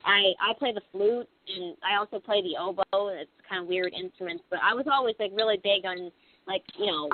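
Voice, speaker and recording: A woman's voice: mid-range voice, fairly quick, a little hiss or hum. Young woman singer, rambling with fillers. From Pennsylvania, USA. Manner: rambling, heavy with "like" and "you know" fillers. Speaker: band singer, guest. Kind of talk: casual radio interview. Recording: lo-fi phone-like radio recording.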